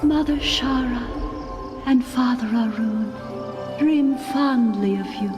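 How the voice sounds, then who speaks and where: High-voiced, echoing serene goddess. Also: female voice, slow. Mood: solemn, serene. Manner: stately, ceremonial. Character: serene, solemn goddess blessing a mortal. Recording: long cavernous reverb, music behind.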